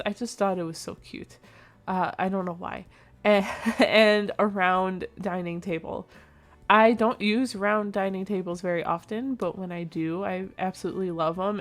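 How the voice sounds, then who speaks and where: A young woman narrating her own building video in a casual, rambling way, with a slightly nasal, sleepy voice. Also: medium pitch. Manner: chatty, rambling, with frequent fillers and small laughs. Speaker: Sims builder and channel host. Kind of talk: casual voice-over commentary on a speed build.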